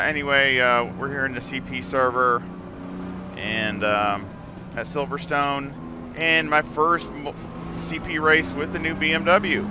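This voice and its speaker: A man hosting his gameplay channel, hoarse and losing his voice, talking in a relaxed, rambling way with many fillers. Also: medium pitch. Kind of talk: casual sim racing commentary. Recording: voice over loud car engine noise.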